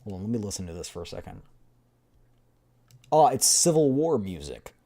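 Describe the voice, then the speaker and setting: Streamer just chatting, kinda husky and mellow, rambles and repeats, pitch jumps around. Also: normal speed. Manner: rambling, repetitive, excitable. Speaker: streamer reading a game story aloud. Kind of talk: casual livestream chat and voiced readthrough.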